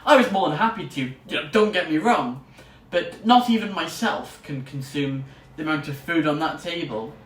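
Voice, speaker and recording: A man's voice: fairly high pitch, animated, normal speed, hardly any pauses, fairly bright tone. A young man, a student vlogger, chatting casually in an enthusiastic, rambling way. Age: young adult. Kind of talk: casual vlog to camera. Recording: roomy echo, distant mic, faint noise.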